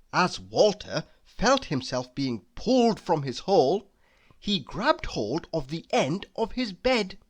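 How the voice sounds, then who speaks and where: A woman reading a children's story aloud, deliberately and with dramatic emphasis. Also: deep voice. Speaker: storybook reader. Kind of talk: expressive children's read-aloud narration.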